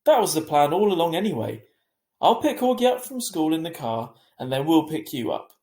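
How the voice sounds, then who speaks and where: Man as a worried, fussing mother, pitched up. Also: sing-song, fairly quick, dark tone, echoey room. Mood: decisive. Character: worried, protective mother of a teenage girl.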